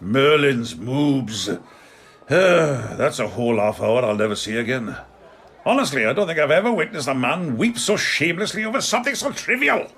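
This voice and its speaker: An actor playing a blunt, gruff medieval campaigner in an audio comedy, scornful and exasperated. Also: male voice, medium pitch, sing-song, normal speed, a bit bright, heavily acted. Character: grumpy, blunt, one-legged medieval old campaigner. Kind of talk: audio comedy drama acting.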